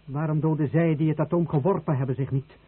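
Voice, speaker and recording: Uneasy villager, thin radio sound. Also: male voice, on the high side, steady tone, fast, slightly rough. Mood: uneasy questioning. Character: troubled villager fleeing, questioning fate, uneasy and earnest. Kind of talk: radio drama acting. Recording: old radio play, tinny, faint noise.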